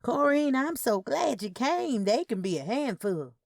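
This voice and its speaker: A woman plays a practical, caring Southern niece in audio fiction. The voice is lifted above narration pitch, with lively, solicitous, down-to-earth delivery and a close, dry sound. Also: unhurried, vocal fry, no echo, exaggerated voice. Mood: relieved, weary. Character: caring, practical Southern woman fussing over elderly aunts.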